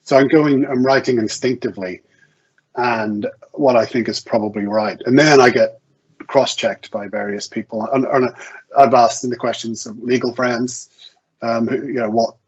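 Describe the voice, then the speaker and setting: A man's voice: mid-range voice, fairly even intonation, moderate pace, breathy edge. A man speaking as a guest author in an interview, conversational, hesitant and often restarting his sentences as he thinks aloud. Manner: hesitant, self-correcting, stammering restarts. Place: online video event. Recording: muffled, lo-fi online call audio.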